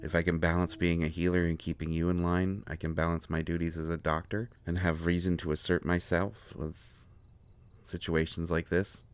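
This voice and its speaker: A man playing a devoted, anxious healer in an intimate roleplay audio drama, speaking earnestly and haltingly to a partner. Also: sits low, little pitch movement, clear, resonant tone, natural voice. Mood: tender, reassuring.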